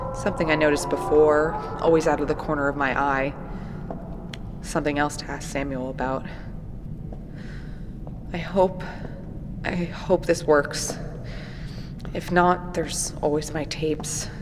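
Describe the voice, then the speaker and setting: A woman's voice: low voice, measured pace, pauses often. An actress playing an earnest, persistent young researcher recording her findings in a horror audio drama. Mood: uneasy. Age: young adult. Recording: noisy lo-fi tape field recording.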